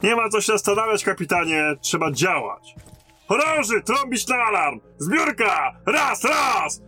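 A man plays a seasoned lieutenant from a fantasy radio play. His voice is fairly high and hard-edged, with a blunt, commanding soldier's manner, over quiet music. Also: very animated, measured pace, breathy edge, full character voice. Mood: commanding, rousing. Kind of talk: fantasy audio drama acting.

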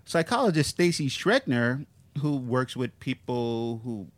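A man's voice: medium pitch, animated, measured pace, no room sound. A man hosting a casual comedy podcast, reading out odd news stories with wry asides. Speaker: podcast host.

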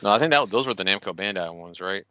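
Deep male voice, quick talker, casual gaming chat, podcast co-host, dry sound. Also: animated, pushed and bright, no echo, highs cut off.

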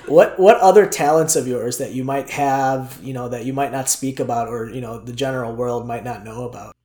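A man co-hosting a podcast, asking questions in a relaxed, soft-spoken way and laughing along. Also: medium pitch, a little fast. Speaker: podcast co-host. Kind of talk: casual interview questions.

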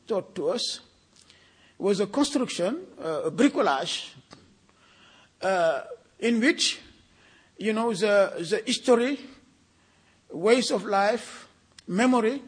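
Older man, fairly high husky voice, measured reflective speaker. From Senegal. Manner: deliberate, repeating words for emphasis. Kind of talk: reflective talk.

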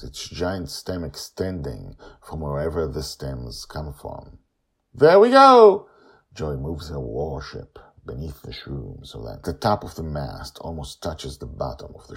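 A man with an extremely deep, husky voice hosts and tells a story. His pitch swings widely from low rumbles to emphatic peaks. He pauses for drama and slips easily into casual asides. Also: darkish tone. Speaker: storyteller, podcast host.

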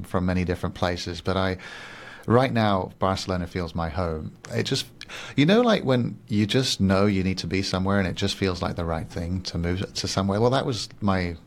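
A Swiss-born man in his thirties, a guest coach on a radio interview, speaking in a soft, reflective way and often repeating words as he gathers his thoughts. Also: deep voice, vocal fry. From Switzerland.

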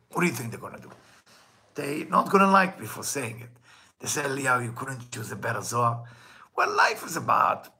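A man's voice: mid-range voice, gravelly, sharp tone. A man with a Hebrew accent, a native Hebrew speaker, giving an earnest weekly Torah lesson as a Kabbalah teacher, asking rhetorical questions and quoting texts in Hebrew. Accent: Israeli Hebrew.

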